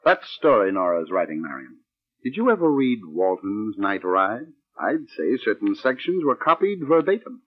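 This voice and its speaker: An actor playing a scheming, menacing husband in a 1940s radio drama, speaking in an insinuating, emphatic way. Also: male voice, fairly low pitch, big pitch swings, moderate pace, husky. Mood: accusing. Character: scheming, menacing husband and would-be playwright, smooth then impatient. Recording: muffled, band-limited old radio recording.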